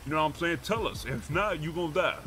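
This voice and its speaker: A man's voice: a little high, quick pace, over music. A man roleplaying a pushy, streetwise, profane character in a game, talking in slang. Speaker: roleplay gamer streaming.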